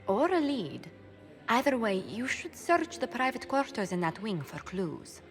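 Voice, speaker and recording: A woman's voice: a little high, sing-song, a little slow. An actress voicing a principled, cautious female ambassador in a video game, speaking in a formal, diplomatic way. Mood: earnest. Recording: game dialogue over music.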